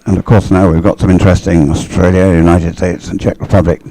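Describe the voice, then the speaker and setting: A low-pitched male sports commentator talks through live race action conversationally. He explains things as he goes, in short phrases that halt and restart while he thinks aloud. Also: level tone, fast talker, warm tone, no echo.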